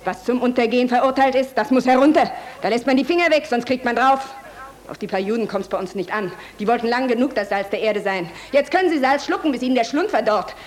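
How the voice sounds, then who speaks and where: Actress as a brazen, high-voiced young fanatic woman. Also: animated, quick pace, lightly acted. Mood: menacing, taunting. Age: young adult. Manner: brazen, taunting, fervent. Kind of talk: German radio drama acting.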